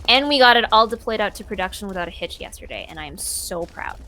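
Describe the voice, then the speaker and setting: A woman's voice: medium pitch, expressive, fast talker, bright, forceful tone, low-quality recording. A woman chatting casually as an interview guest in an informal, emphatic way. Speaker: podcast guest, voice actor and software developer. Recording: clear background noise, room ambience.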